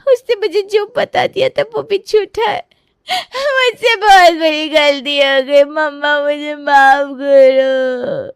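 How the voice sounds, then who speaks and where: A woman's voice: high-pitched, slow pace, no room sound, clean studio sound, voice pushed down. A woman voicing a naive young woman in her twenties who cries and pleads as she confesses in a family drama. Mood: tearful, remorseful. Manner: pleading, apologetic, wailing. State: crying.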